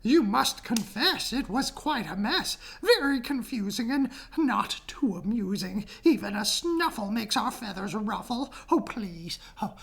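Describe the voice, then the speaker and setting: Shrill, fretful storybook bird. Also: female voice, lively intonation, unhurried, gravelly, breathy edge, exaggerated voice. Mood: fretful complaining. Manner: shrill, squawking, dramatic pleading. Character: flustered, pleading flock of birds in a storybook.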